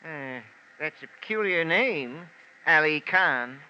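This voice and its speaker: A man's voice: fairly high pitch, expressive, takes it slowly. An actor playing a wily old male boatman who haggles slyly, drawling and hesitant, in a 1950s radio drama. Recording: dull lo-fi old radio recording with hiss.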